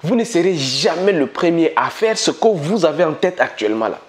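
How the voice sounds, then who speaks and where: A man's voice: very animated, a little fast. A male entrepreneur giving an emphatic motivational business talk to camera, full of repetition and rhetorical questions to viewers. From Africa. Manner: swings between high emphatic bursts and low asides. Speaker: entrepreneur and channel host.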